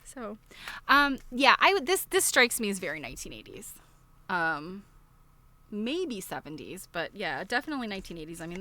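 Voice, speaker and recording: A woman with a bright, forward voice at an everyday female pitch hosts a casual livestream unboxing. She talks in a chatty, meandering way, thinking out loud while presenting things and checking in with her viewers. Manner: rambling, thinking aloud; chatty show-and-tell, asking viewers questions. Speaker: livestream host.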